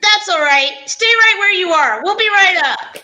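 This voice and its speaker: A woman playing a protective, weary male homicide inspector in an amateur old-time radio drama, with a measured, put-upon delivery. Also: extremely high pitch, lively intonation, pushed and bright, full character voice. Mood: businesslike.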